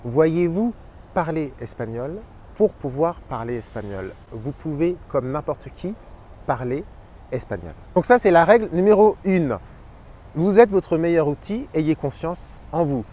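A man in his thirties who hosts a language channel, explaining his tips to camera in an energetic, expressive way. He is outdoors by a park pond and wears a lapel mic. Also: fairly high pitch, unhurried, slight background noise. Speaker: Spanish teacher and channel host.

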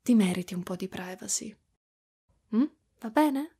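Woman playing a slow, breathy, doting spider mother. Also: medium pitch, animated, full character voice. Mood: tender, reassuring. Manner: soft, cooing, hushed, drifting into whispers. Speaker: ASMR roleplay performer. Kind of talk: intimate ASMR roleplay in Italian.

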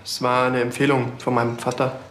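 Actor as reserved, medium-pitched husband. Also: male voice, flat delivery, brisk, fairly bright tone, slight background noise, natural voice. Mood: polite, reserved. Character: polite, reserved newlywed husband.

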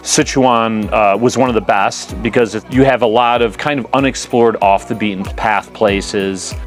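Low-voiced American man over music. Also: fairly even intonation, measured pace. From United States. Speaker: hiking guide. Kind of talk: casual sit-down interview. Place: open wooden balcony among green hills. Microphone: clip-on lapel mic.

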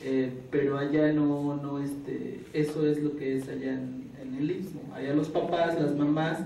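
A man's voice: mid-range voice, little pitch movement, measured pace, gravelly, dark tone. A man from Juchitán in Mexico giving an earnest testimony at a panel, explaining patiently and repeating his key words. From Juchitán, Isthmus of Tehuantepec, Mexico. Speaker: panel speaker. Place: conference room. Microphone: table microphone, recorded by far camera. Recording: echoey room from far off, noisy.

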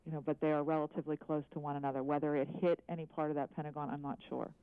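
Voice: medium pitch, little pitch movement, racing, clean tone, dry recording. An eyewitness giving hesitant, careful, unscripted answers in a live news interview. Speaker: eyewitness caller. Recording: narrow, dry phone line.

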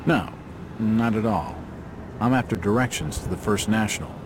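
An actor playing a wry, persistent hard-boiled detective in a video game, sardonic and probing. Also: male voice, on the low side, moderate pace, background noise, natural voice.

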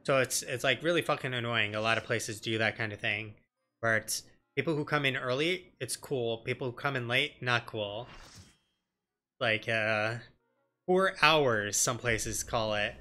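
Bright, punchy-voiced man chatting over a game. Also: mid-range voice, unhurried, faint music behind. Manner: sweary, colloquial. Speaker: streamer, let's player. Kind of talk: casual chat and live game commentary. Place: indoor room at home.

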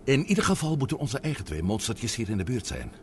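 An uneasy submarine companion in an old radio serial, wondering and questioning. His pitch moves in wide, lively swings, and the recording is clearly noisy with a rumble behind him. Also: quick pace. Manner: anxious, wondering. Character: uneasy, questioning man aboard a submarine, awed observer. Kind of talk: Dutch radio drama acting.

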